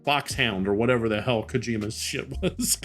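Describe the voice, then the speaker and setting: A man's voice: medium pitch, moderate pace, a bit bright. A man co-hosting a casual advice talk, speaking in a blunt, opinionated way. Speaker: co-host of a game-making show. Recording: music behind the voice. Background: background music.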